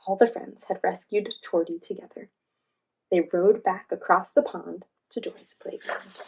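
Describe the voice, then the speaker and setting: A woman reading a children's storybook aloud as host, pausing in a teacherly way to guide young listeners. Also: medium pitch, gravelly, darkish tone, husky, low-quality recording. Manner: teacherly, asks listeners questions and guides them.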